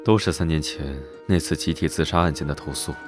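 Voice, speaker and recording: An actor playing a teasing, scheming young noble duke, self-assured and drawling, in an animated drama dub. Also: male voice, rumbling low, brisk. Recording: background music under dialogue.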